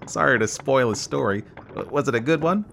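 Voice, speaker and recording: A man's voice: mid-range voice, animated, fairly quick, gravelly, a bit bright, a little hiss or hum, voice pushed up. A man voicing a brash, boyishly eager young man from the East in a storybook reading, teasing and direct. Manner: direct, teasing, scornful of caution.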